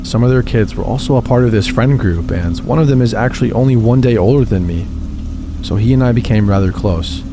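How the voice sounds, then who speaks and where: A man narrating first-person gaming horror stories in a steady, measured reading. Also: mid-range voice, over music. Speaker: channel host reading submitted stories. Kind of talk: scripted horror story narration.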